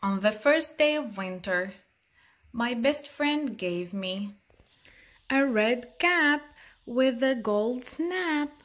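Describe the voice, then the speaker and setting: A woman's voice: on the high side, animated, takes it slowly, a bit bright. A woman reading a picture book aloud for children in a sing-song way, with chatty asides to young listeners. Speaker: children's storytime host.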